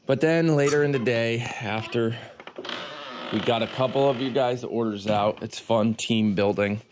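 A man's voice: medium pitch, a little slow, background noise. A man in his forties vlogging casually while doing morning chores in a goat barn, rambling to his viewers, filmed on a handheld camera at arm's length. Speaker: farm vlogger. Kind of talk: casual vlog chat. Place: goat barn and farmyard outdoors.

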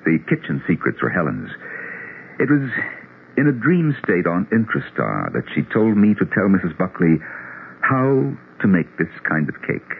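Low, swooping, earnest psychiatrist. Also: male voice, wildly swooping pitch, gravelly, full character voice. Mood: earnest, confiding. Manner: deliberate, halting, intense explaining. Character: grieving psychiatrist, earnest, obsessed with his theory. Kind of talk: 1970s radio drama acting. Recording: tinny, noisy old radio broadcast.

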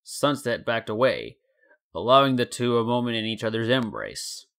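A man's voice: mid-range voice, big pitch swings, moderate pace, gravelly, fairly bright tone, smooth. A man in his twenties reading a scripted story as a dramatic narrator. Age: 20s. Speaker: story narrator and channel host.

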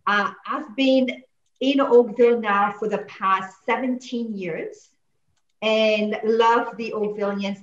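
A woman's voice: mid-range voice, a bit bright. A woman in her forties from Mauritius with a French-accented English, speaking earnestly and passionately as a political candidate on a video call from a home office. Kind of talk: campaign talk on a video call. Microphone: video call webcam. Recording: echoey, dull lo-fi call audio.

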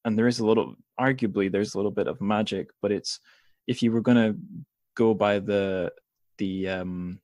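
A man's voice: on the low side, steady tone, normal speed, a little mellow, dry recording, studio quality. A man hosting a casual interview, phrasing questions in a hesitant, rambling way that circles back on itself. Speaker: podcast host, interviewer.

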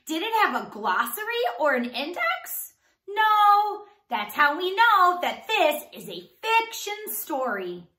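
A woman in her thirties doing an animated read-aloud for young children, enunciating with exaggeration and asking them questions as a teacher would. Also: very high-pitched, slow, echoey room. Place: small indoor room.

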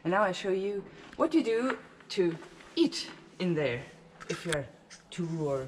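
A woman in her thirties giving a casual, halting demonstration to camera, as if searching for words. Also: fairly low pitch, sing-song. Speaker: vlogger demonstrating a camper van setup. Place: inside and beside a van in a large metal shed. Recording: clearly noisy, clattery shed recording.

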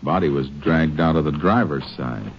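An actor playing a steady, dogged Texas Ranger, terse and matter-of-fact, in 1950s radio drama style. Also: male voice, a bass voice, moderate pace. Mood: thoughtful. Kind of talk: 1950s radio drama acting and first-person narration. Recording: muffled, noisy old radio recording.

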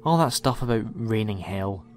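A man's voice: on the low side, animated, normal speed, a little mellow, long reverb tail, soft background music, natural voice. A young man playing a cheeky, eccentric time-travelling hero in a science fiction audio drama. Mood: earnest. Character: cheeky, eccentric heroic male time traveller, bold wanderer.

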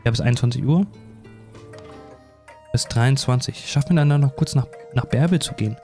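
A man's voice: mid-range voice, moderate pace, warm tone, faint music behind. A man doing casual, rambling let's play commentary in a self-deprecating, chatty way. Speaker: gaming YouTuber.

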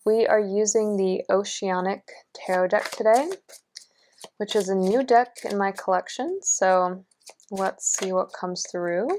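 A woman's voice: medium pitch, steady tone, a little slow, a little mellow, a little hiss or hum. Young woman tarot reader, earnest and reassuring, voice slightly worn. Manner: earnest, encouraging, lots of fillers. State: losing her voice. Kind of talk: pick-a-card tarot reading. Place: indoors at a table, only hands seen.

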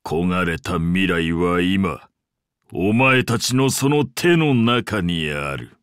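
An ancient god of the sea, speaks with imperious, fatherly grandeur. The low voice moves slowly through archaic phrases, swinging widely in pitch. He sounds pompous and indulgent, like a ruler addressing his children. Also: animated, a bit bright, clean tone, no room sound, clean studio sound, heavily acted. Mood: solemn, wistful. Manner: pompous, archaic, deliberate speech. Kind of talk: Japanese game character voice acting.

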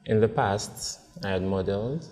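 A Nigerian man in his thirties on a blind date, talking in a hesitant, halting way with many restarts. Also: lowish voice, dark tone, in a large hall. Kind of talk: casual conversation. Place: indoor restaurant with large windows. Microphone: lapel mic.

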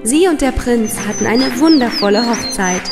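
A woman's voice: a little high, lively intonation, normal speed. A woman narrating a scripted fairy tale for children as a storyteller. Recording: long reverb, music behind.

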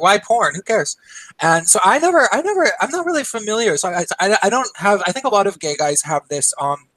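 A man's voice: a little high, fairly bright tone, dry recording, rough recording. A man in his thirties hosting a podcast, chatting casually and laughing often over a call. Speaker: podcast host. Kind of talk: casual chat.

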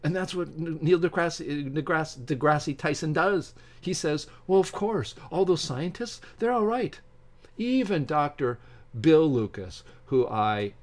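A middle-aged man reads aloud haltingly from a book and breaks off to give his opinionated, informal commentary as a channel host. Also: a little high, a little mellow. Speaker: YouTube science channel host. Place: small home office with bookshelves, indoors.

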